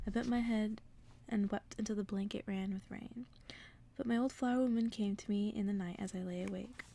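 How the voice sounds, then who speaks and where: A woman reading a story aloud as ASMR in an intimate, hushed whisper. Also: mid-range voice, creaky. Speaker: ASMR reader.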